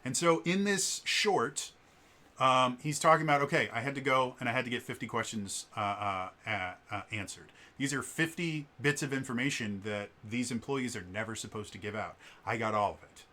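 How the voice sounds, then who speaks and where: Chatty bass-voiced man with a stutter. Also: normal speed, bright, forceful tone. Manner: stutters on repeated sounds; explanatory, chatty, with many fillers. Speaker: vlogger. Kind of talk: casual commentary vlog.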